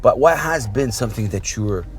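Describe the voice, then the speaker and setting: A man from Saudi Arabia hosting a casual interview in a car, rambling and warm as he talks about his past. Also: medium pitch, expressive, moderate pace. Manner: rambling, warm, restarts often. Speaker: podcast host. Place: inside a car. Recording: car interior with music behind.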